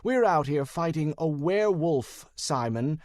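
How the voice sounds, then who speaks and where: A fussy male wizard from an urban fantasy audiobook, played by a man. He speaks precisely and dryly, is quick to exasperation, and his pitch swings widely in a dry, close recording. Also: expressive, darkish tone, dry recording. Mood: exasperated.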